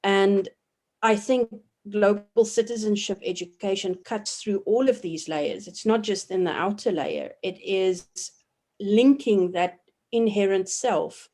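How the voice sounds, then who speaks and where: A woman in her forties from South Africa, a professor giving measured, reflective answers in an interview, often pausing with ums and restarting her sentences. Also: mid-range voice, darkish tone. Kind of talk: thoughtful academic interview answers.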